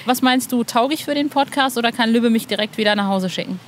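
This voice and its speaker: A woman's voice: a little high, quick pace. A woman hosting a podcast in casual, slangy and enthusiastic conversation. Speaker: podcast host, interviewer. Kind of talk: casual interview chat. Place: outdoors on a bench in a cemetery. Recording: clear outdoor background noise.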